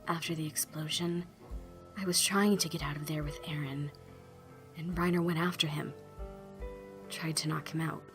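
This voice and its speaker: An actress plays a serious young woman survivor with a low voice. She speaks deliberately and guardedly, as in an apocalypse audio drama, with music prominent behind her. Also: steady tone. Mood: grave, measured.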